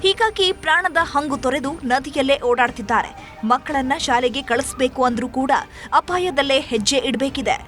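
A woman's voice: high voice, brisk, a bit bright. A woman narrating scripted news in an emphatic, dramatic way. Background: music bed.

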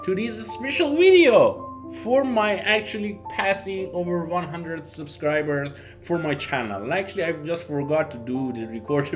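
A young man from Iran, a native Persian speaker, chatting casually to camera in halting, Persian-accented English as a YouTube host. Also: on the high side. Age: young adult. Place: small home office, indoors.

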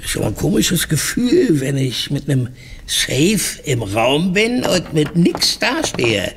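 A man playing an uncouth, grumbling safecracker in a German radio play, blunt and halting in his speech. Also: a little high, big pitch swings, background noise, exaggerated voice. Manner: halting, blunt, dim-sounding. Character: uncouth, grumbling male safecracker after quick money. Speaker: actor in an audio drama.

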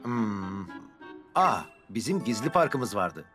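Friendly grown-up cartoon car, animated delivery, music behind him. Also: male voice, on the low side, takes it slowly, full character voice. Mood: eager, nostalgic. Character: friendly adult male talking vehicle, fond of reminiscing. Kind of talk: Turkish-dubbed children's cartoon acting. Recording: background music under dialogue.

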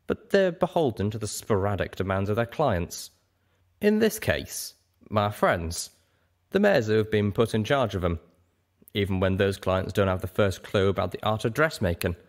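Storybook dragon, performed by a man: huge, old, male, earnest and wistful, reflective delivery with wide-swinging pitch. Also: sing-song, moderate pace. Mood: explaining, careful.

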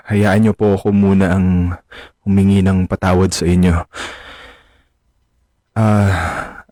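An actor playing a respectful, earnest young son in a radio drama, polite and hesitant. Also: male voice, on the low side, dark tone, no room sound, lightly acted. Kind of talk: Filipino radio drama acting.

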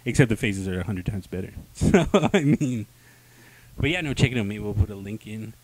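A man's voice: on the low side, expressive, a little fast, poor audio. A young man hosting a podcast, chatting casually about comics into a handheld microphone. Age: young adult. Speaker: podcast host. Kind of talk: casual comic book review chat. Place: small room, indoors.

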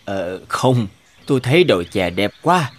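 An actor playing a polite, hesitant land buyer in an animated drama, speaking in a courteous, halting way. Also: male voice, on the low side. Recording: music behind the voice.

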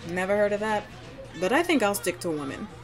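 A woman's voice: medium pitch, very animated, fairly quick, some chatter behind, natural voice. A woman playing a tough, protective, blunt teenage girl from a 1950s gang in a comic dub. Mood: frank. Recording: music behind the voice.

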